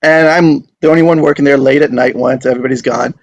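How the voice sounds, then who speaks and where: A man's voice: medium pitch, steady tone, fast talker, creaky, dry recording, dull audio. A man hosting an online table read, chatting in an informal, appreciative way. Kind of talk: casual group video-call chat.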